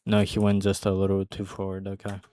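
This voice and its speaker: Low-voiced man reacting casually. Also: completely flat delivery, fairly quick, mellow, no room sound. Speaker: reaction video host.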